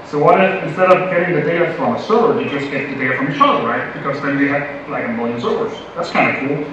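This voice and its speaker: A man's voice: fairly high pitch, fast. A young man from Denmark giving a casual, playful tech conference talk with many fillers. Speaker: conference speaker. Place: large conference hall. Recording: echoey hall from far, dull lo-fi audio, noisy.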